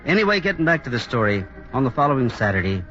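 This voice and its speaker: An actor playing a kindly, steady, sincere Western hero who also tells the story in a 1950s radio drama. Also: male voice, medium pitch, fast talker, over music, natural voice. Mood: reflective. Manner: sincere, reassuring. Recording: muffled old radio recording, faint noise.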